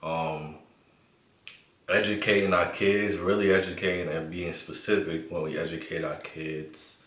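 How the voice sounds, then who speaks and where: A man, a guest talking in a laid-back, halting way with many fillers. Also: low voice, a bit bright. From the Bronx, New York. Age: 20s. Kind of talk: casual conversational vlog. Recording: roomy and distant from mic.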